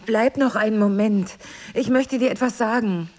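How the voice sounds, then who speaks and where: An actress playing a devoted, determined wife in an intimate drama, earnest and insistent, often dropping toward a whisper. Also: female voice, a little high, normal speed, darkish tone, natural voice. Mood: urgent pleading. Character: devoted, determined wife pleading with her husband to stay. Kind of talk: intimate dialogue-driven audio drama.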